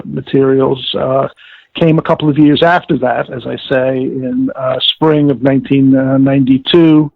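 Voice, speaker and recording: An older American man recalling his career in an interview, speaking articulately and formally but haltingly as he searches for words. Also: mid-range voice, creaky, dry recording. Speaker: interviewee, former audiobook director and producer. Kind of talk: interview recollection. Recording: thin band-limited phone-like call audio.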